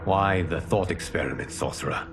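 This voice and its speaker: A man playing a grave, measured warrior leader in a video game cutscene, with music behind. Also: deep voice, background noise. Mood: skeptical. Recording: faint cinematic music behind.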